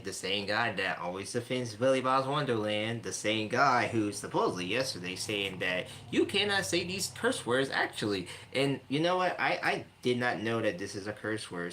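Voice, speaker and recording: A man's voice: lowish voice, sharp tone, slight background noise. A man hosting a casual livestream, ranting with lots of repetition and stumbling restarts. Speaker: streamer host.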